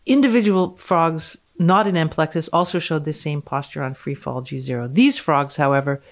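A woman reading a scientific paper aloud in a measured, precise way with dry amusement, as a podcast guest. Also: low voice, a little mellow.

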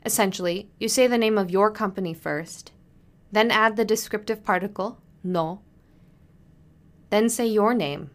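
A woman hosts an instructional podcast and explains each step in a clear, friendly teaching voice. Her pitch sits in the middle range. She slows down and says each Japanese phrase carefully for listeners to repeat. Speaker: podcast host and business culture teacher. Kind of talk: scripted podcast lesson.

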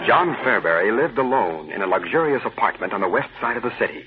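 A man's voice: on the low side, expressive, fast, over loud music, lightly acted. A man narrating an old-time radio serial in a formal, suspenseful manner. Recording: hissy, tinny old radio recording.

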